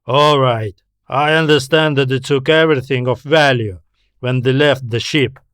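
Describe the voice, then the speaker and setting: A man's voice: medium pitch, moderate pace, fairly bright tone, clear, resonant tone, dry recording, clean studio sound, close to an everyday voice. A man voicing a brash, irritable male space explorer with a dismissive, slangy, emphatic delivery in an audio story.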